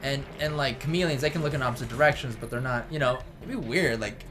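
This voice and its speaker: A man's voice: mid-range voice, fast. A man co-hosting a gaming show, chatting casually in a goofy, giggly way and wandering off on tangents. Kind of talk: casual let's-play banter. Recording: game music behind.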